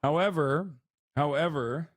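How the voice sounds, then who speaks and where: Streamer type: man from Turkey with an American accent, blunt and animated, swears casually, dry close sound. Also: on the high side, unhurried, no room sound. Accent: American English. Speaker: livestream host. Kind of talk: casual live-stream chat, reacting to chat.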